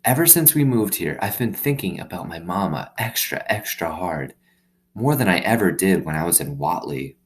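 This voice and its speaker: A man's voice: low voice, lively intonation, normal speed. A man reading a storybook, voicing a lonely, earnest young girl who confides plainly in her dog. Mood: earnest, confiding. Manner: plain-spoken, confiding, childlike phrasing. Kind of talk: storybook read-aloud.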